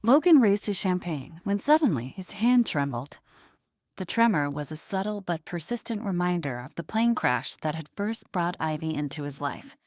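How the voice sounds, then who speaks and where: A woman's voice: fairly low pitch, big pitch swings, moderate pace, darkish tone, no echo, studio quality. A woman narrating a scripted audio story in a dramatic storytelling style.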